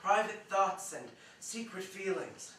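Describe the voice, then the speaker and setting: A man's voice: sits high, lively intonation, slow pace, a bit bright, heavily acted. A man on stage playing a pretentious, earnest, pushy film-buff writer, opinionated and declamatory. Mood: solemn, impassioned. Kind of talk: theatrical staged-reading dialogue. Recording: distant echoey stage recording, faint noise.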